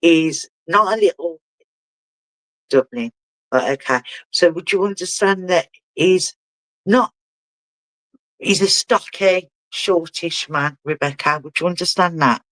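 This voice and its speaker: A man working as a spiritual medium, giving a live reading in a halting way and often asking the sitter whether it makes sense. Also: on the high side, sharp tone, no room sound, low-quality recording. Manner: halting, relays messages and keeps asking the sitter to confirm.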